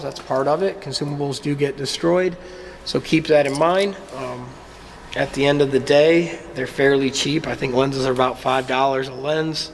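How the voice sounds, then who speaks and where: A man's voice: on the high side, in a large hall, slight background noise. A man getting over a cold gives a casual, plain-spoken review of a machine in his workshop, with lots of ums. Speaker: small-business maker reviewing his laser cutter.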